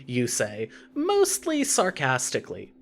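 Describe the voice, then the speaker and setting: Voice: mid-range voice, wildly swooping pitch, a little slow, vocal fry, faint music behind. A narrator reading scripted second-person fiction for an audio story.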